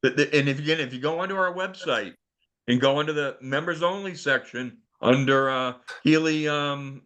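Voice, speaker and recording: A man with a sharp, bright voice talks informally in a group discussion. He offers practical advice to fellow hobbyists over a conference call. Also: on the high side, moderate pace. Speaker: club member giving technical advice.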